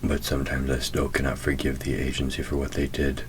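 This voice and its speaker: A man voicing a centuries-old demon in an intimate audio roleplay, proud and gruff but tender, murmuring slowly and deliberately, often close to a whisper. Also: a bass voice, monotone, slight background noise. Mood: somber, bitter.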